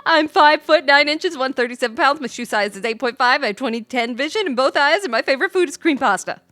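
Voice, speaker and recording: Game role: woman playing a guileless, bubbly twenty-something, high and loud, very animated, earnest. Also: fast talker, no room sound, soft background music. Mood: bubbly, eager. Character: eager, guileless young adult game character oversharing details.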